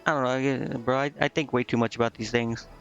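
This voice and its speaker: A man's voice: mid-range voice, steady tone, quick pace, fairly bright tone, soft background music. A man doing casual video game commentary, thinking aloud in a rambling way and stumbling as he reads dialogue out. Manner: rambling, thinking aloud, stumbling over words when reading. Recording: dull, lo-fi microphone sound.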